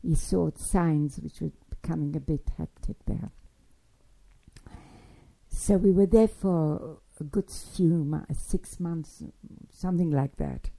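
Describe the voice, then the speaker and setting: An older woman telling her life story in a halting, digressive way, with frequent restarts and fillers. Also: low-pitched, creaky, mellow, low-quality recording. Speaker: interviewee recounting childhood. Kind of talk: spoken memoir, oral history.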